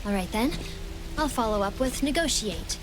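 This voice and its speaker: Woman voicing a high-voiced, earnest young woman. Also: animated, normal speed, background noise, close to an everyday voice. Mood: earnest, thoughtful. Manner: formal, careful phrasing. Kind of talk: anime-style game voice acting.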